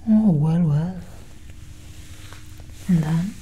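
A young woman whispering breathily and deliberately in a soft ASMR roleplay as a calm alien scientist, close to the mic. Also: breathy edge, rough recording. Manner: slow, deliberate, hushed. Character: calm, clinical female alien scientist examining a human. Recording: steady low engine-like hum.

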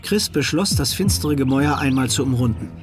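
A man's voice: mid-range voice, animated, brisk. A man giving scripted narration for a German radio play. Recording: music behind.

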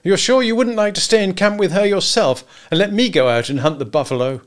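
Guy doing a petulant bitter husband from a storybook, voice pushed up high, accusing and worked up. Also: animated, fairly quick. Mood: bitter, irritable. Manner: agitated, accusing, emphatic. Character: wealthy husband, bitter, disgusted, petulant and easily provoked. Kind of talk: dramatised storybook reading.

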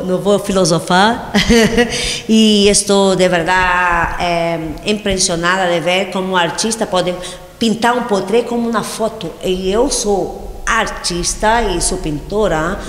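A woman's voice: fairly low pitch, fairly even intonation, slow. A middle-aged Brazilian woman whose first language is Portuguese chats playfully into a handheld mic, laughing and drifting off topic. Her speech carries a strong Brazilian accent. The studio gives a roomy, echoing sound with a long reverb tail. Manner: playful, rambling, laughs often. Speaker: guest painter. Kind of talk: casual TV interview chat. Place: large TV studio set. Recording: echoey hall with long reverb.

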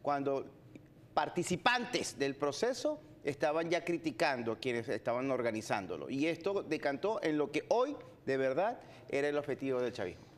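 A man's voice: medium pitch, sing-song, moderate pace. A man, hosting a TV interview in a formal, fluent manner. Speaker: host. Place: TV studio.

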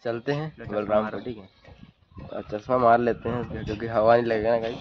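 A man's voice: medium pitch, steady tone, brisk. A young man chatting casually to viewers as an outdoor motorbike vlogger, on a phone at arm's length. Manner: informal, addresses viewers as guys. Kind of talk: casual motovlog chat to viewers. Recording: muffled, loud wind and vehicle noise.

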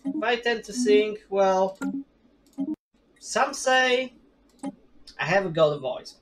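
A man's voice: high voice, animated, slow pace, a little hiss or hum. A man in his thirties casually commentating a strategy game from a gaming chair at home, rambling and laughing at himself. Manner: rambling, chatty, self-deprecating, laughs often. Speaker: gaming streamer. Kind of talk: casual let's play commentary.

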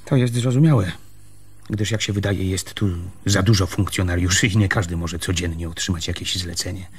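An actor playing a stern, insistent land surveyor with a husky voice and formal, argumentative speech in a radio play. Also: male voice, deep voice, steady tone, moderate pace. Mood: reasoning, insistent.

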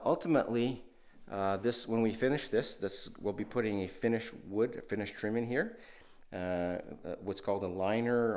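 A man's voice: fairly low pitch, a little mellow. A man hosting a renovation video, casually walking through an empty house and talking with many fillers and restarts. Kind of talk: casual walkthrough narration.

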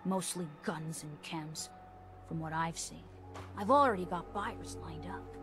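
A woman's voice: deep voice, unhurried, over music. An actress playing a tough, guarded woman smuggler in a video game, speaking in a curt, businesslike way. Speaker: game character.

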